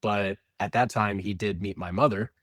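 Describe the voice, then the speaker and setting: A man's voice: on the low side, steady tone, normal speed, fairly bright tone, no echo, clean studio sound. A man from Indianapolis, answering interview questions about his family business in an explanatory way with frequent restarts. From Indianapolis, USA. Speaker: company representative.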